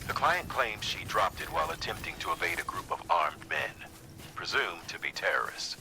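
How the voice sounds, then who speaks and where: A gruff, businesslike man giving a video game mission briefing. His voice is very low and creaky, with a breathy edge and strongly varied intonation. There is clear noise behind him. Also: very animated, music in the background, exaggerated voice, poor audio. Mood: businesslike, grave. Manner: measured, businesslike.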